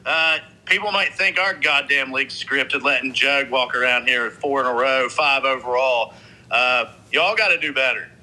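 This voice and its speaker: A man's voice: medium pitch, normal speed, pushed and bright, poor audio. A man hosting a profane league recap in a brash, announcing way. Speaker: host.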